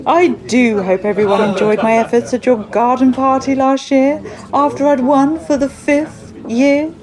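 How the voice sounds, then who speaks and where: A woman's voice: sits high, fairly even intonation, a little slow, crowd noise, poor audio. A woman playing a smug, bossy village baking champion in a comic radio drama. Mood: smug, simpering.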